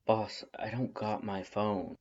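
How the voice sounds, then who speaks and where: A reader voicing a gruff skeleton older brother in a fan story, growling and muttering in rough street slang. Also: mid-range voice, steady tone, slow, a little mellow. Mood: gruff, defensive. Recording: dull lo-fi recording.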